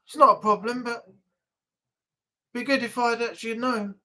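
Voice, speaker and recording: A woman's voice: on the high side, fairly even intonation, normal speed, bright, forceful tone. A woman hosting a casual weekly livestream chat, talking to viewers in a chatty, rambling way. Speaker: livestream host.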